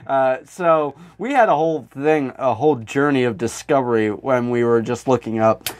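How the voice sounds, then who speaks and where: A man's voice: mid-range voice, moderate pace, no room sound. Profane, joking male podcast co-host. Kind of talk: casual comedic chat, anecdotes.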